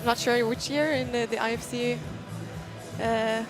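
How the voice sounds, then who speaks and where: Young Norwegian-accented woman, slightly high voice. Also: fairly even intonation, unhurried, poor audio. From Norway. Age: young adult. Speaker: athlete guest co-commentator. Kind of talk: casual interview chat. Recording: music behind the voice. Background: music.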